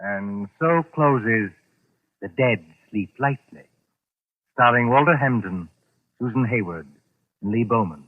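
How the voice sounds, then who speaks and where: A man announcing a suspense series in formal, deliberate, ominous 1940s radio style, reading scripted credits. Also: medium pitch, animated, no echo. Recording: muffled vintage radio recording. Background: dramatic music.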